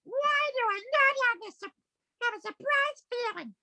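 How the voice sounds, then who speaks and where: A woman voicing a menacing alpha guard dog from a cartoon, squeaky and very high, with commanding, stilted formal phrasing. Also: animated, normal speed, pushed and bright, no room sound, heavily acted. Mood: scornful. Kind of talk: amateur table read of an animated film script.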